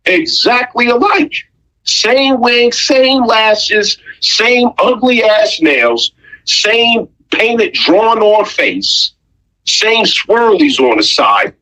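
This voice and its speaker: A man's voice: high-pitched, expressive, drawn-out words, bright, forceful tone, rough recording. A man giving an opinionated, conversational monologue, blunt and emphatic, with rhetorical questions and pointed repetition. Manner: blunt, profane slang.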